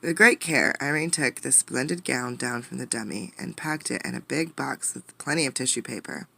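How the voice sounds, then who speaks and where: A woman reading a storybook aloud as a teacher, expressively, pausing to ask listeners questions. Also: rumbling low, creaky, bright, forceful tone. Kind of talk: interactive storybook read-aloud.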